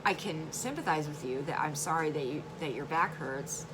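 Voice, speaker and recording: A woman with a low, slightly hoarse voice chats earnestly straight to her viewers, doubling back on her words and breaking into soft laughs. Her talk is casual and plain. A faint hiss sits under the recording. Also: normal speed. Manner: chatty, repeats words, laughs often. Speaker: vlogger. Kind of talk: casual educational vlog.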